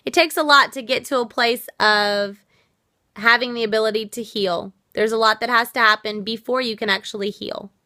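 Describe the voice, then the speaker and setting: A woman's voice: fairly high pitch, fairly even intonation, moderate pace, sharp tone, dry recording, studio quality. A woman from the United States hosting a casual live stream, earnest and reflective as she answers viewer comments, her voice worn out. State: losing her voice. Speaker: livestream host.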